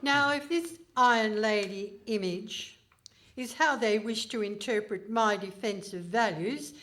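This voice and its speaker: A woman playing a haughty, pompous Iron Lady politician in a comic radio play, speaking in a stately, deliberate manner. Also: medium pitch, fairly bright tone, lightly acted. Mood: pompous, self-important. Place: hall stage before a live audience.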